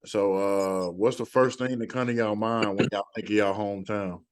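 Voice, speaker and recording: Flat-voiced male podcast host. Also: fairly low pitch, moderate pace, no echo. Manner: flat, plain questioning with fillers. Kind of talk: interview questions.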